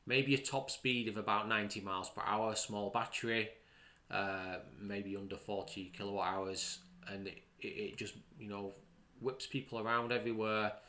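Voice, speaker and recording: Bright-voiced man giving commentary. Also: fairly low pitch, steady tone, moderate pace. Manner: emphatic, repeats words for stress, poses rhetorical questions. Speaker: podcast host. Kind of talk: opinion commentary to listeners.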